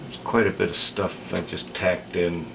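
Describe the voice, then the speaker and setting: A man giving unscripted workbench commentary as a repair hobbyist, hesitant and halting as he thinks aloud. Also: sits low, little pitch movement. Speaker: radio repair hobbyist demonstrating work. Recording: clear background noise.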